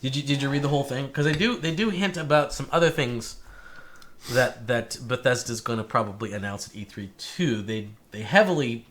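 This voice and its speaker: A man's voice: medium pitch, fairly quick, a bit bright. A man co-hosting a casual tech podcast, easygoing and laughing along, often restarting his sentences. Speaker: podcast co-host.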